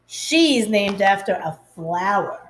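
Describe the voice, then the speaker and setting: A woman's voice: mid-range voice, lively intonation, takes it slowly, a little hiss or hum. A woman voicing teasing, snooty little schoolgirls from a storybook in a sing-song manner. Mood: snide.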